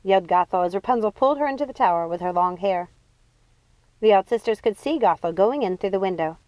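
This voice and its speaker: A woman reading a children's fantasy novel aloud as expressive scripted narration. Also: mid-range voice, fast, dry recording, low-quality recording. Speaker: audiobook reader.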